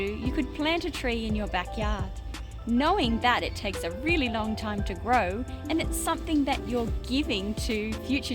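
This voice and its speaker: A woman with a fairly high, very lively voice hosts a story time for children. She explains simply and leans on key words, with loud music playing behind her. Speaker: host of children's story read-aloud show. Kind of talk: simple explaining to young listeners.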